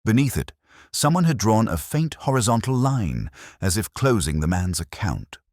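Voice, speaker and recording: A man voicing a weary, melancholy older English detective, narrating a literary mystery in a measured, wry, brooding way. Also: fairly low pitch, sing-song, a little mellow, dry recording, clean studio sound. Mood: sombre, reflective.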